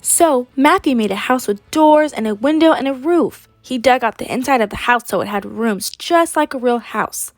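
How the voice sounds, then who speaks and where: A woman's voice: high voice, moderate pace, few pauses, no room sound, soft background music. A young woman in her late teens reading a children's picture book aloud expressively. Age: teenager, high school senior. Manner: animated, acts out characters. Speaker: read-aloud storyteller.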